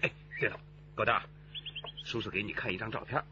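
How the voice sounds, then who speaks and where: An actor playing a kindly, playful and inquisitive uncle in a radio drama. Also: male voice, lowish voice, steady tone, quick pace, highs cut off, noisy background, natural voice. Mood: probing.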